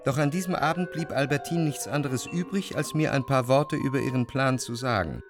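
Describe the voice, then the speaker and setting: An actor playing a jealous, introspective lover who narrates in the first person in a measured, deliberate way, in a literary radio drama. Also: male voice, mid-range voice, close to an everyday voice. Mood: brooding, analytical. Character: jealous, brooding male lover recounting his obsessions. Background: music.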